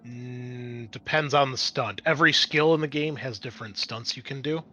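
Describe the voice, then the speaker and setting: A man's voice: mid-range voice, normal speed, sharp tone, soft background music. A man acting as game master, describing scenes conversationally for a tabletop roleplaying game.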